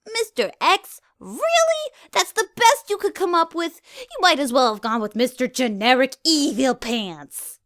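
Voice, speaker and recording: A woman's voice: measured pace, dry recording, studio quality, full character voice. A woman voicing a cheerful, scatterbrained young female pony companion in a storybook reading. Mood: exasperated. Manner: high excitable voice, swooping pitch.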